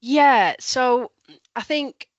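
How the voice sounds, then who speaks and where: A woman presenting for a local council at an online event, talking in a measured way with ums and restarts, a little nasal from a cold. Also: sits high, no room sound. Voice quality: slightly nasal. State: has a cold. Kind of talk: webinar presentation and Q&A. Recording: dull lo-fi online call audio.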